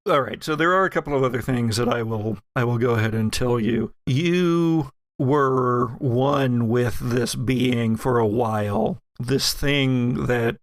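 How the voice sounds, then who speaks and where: A man acting as game master, casually narrating a tabletop story and pausing mid-sentence. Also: medium pitch, no echo.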